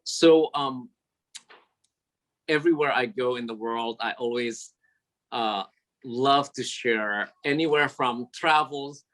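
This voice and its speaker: A man born in Indonesia with Javanese as his first language, an interior designer talking warmly about his work from his office in a casual interview, often pausing on fillers. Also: medium pitch. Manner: warm, sentimental, halting with many fillers. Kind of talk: casual interview over video call. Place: his office at a city store.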